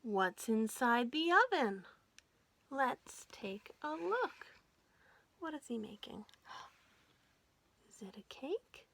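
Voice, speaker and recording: A woman hosting a children's storytime, reading aloud in an animated, sing-song way and putting questions to young listeners. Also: on the high side, very slow, vocal fry, long pauses.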